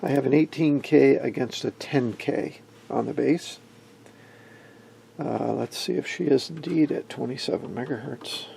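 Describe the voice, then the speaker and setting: A middle-aged man giving an informal hands-on tutorial in an unhurried, folksy manner. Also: medium pitch, gravelly, warm tone, slight background noise. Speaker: electronics hobbyist presenter. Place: indoor electronics workbench.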